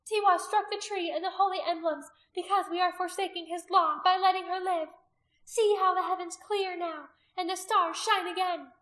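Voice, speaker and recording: A woman's voice: extremely high pitch, normal speed, bright, forceful tone, roomy sound, pitched above the performer's own voice, full character voice. A woman narrator playing a cruel, commanding pagan priestess in an audiobook story, accusing and imperious. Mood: fanatical triumph.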